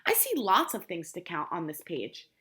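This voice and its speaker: A woman teacher reading a picture book aloud to young children, explaining simply and prompting them with questions in an expressive way. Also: on the low side, normal speed. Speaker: preschool teacher.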